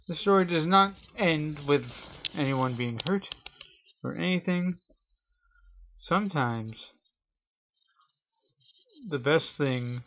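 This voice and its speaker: A man hosting a show and improvising a spooky story for his viewers, speaking haltingly in short phrases with pauses. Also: medium pitch, fairly bright tone, dull audio. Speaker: host telling a scary story.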